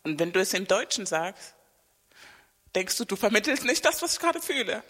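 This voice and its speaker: A woman hosts a casual podcast chat in a lively, affirming way. Her voice has a bright edge. A long reverb from the room trails behind her words. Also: medium pitch, moderate pace.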